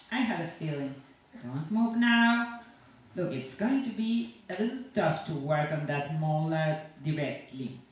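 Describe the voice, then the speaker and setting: A woman voicing a chatty, grumbling, blithely menacing dentist in rambling, hesitant English, as a story monologue. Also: lowish voice. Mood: gossipy, aggrieved, then blithely reassuring. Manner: rambling, falsely reassuring, hesitant non-native English. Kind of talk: dramatic monologue read from a short story. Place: large indoor venue at a university. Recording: echoey, distant, noisy live room.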